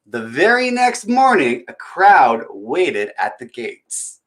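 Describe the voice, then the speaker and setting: A man hosting a children's storytime, chatting to viewers and reading aloud in an expressive, theatrical way. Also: on the high side, slow pace, poor audio. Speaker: children's storytime host and reader. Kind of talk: chatty introduction and animated picture-book reading aloud.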